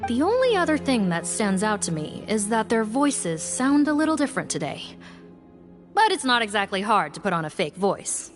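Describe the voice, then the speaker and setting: A woman playing a brisk, skeptical investigator, matter-of-fact and pointed, in anime game style. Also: medium pitch, very animated, natural voice. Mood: skeptical, insistent. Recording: faint music behind.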